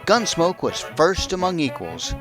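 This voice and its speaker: A man's voice: fairly high pitch, very animated, a little slow, over music. A man hosting a radio show, giving a scripted introduction. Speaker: host.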